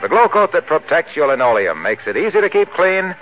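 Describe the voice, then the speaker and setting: A man's voice: on the high side, very animated, a little fast, faint music behind. A man announcing a radio advertisement in polished, upbeat 1940s sales style. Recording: tinny 1940s radio broadcast.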